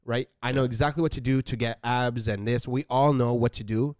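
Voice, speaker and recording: A man co-hosting a casual podcast, agreeable and quick to chime in. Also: medium pitch, steady tone, darkish tone, no room sound, studio quality. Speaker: podcast co-host.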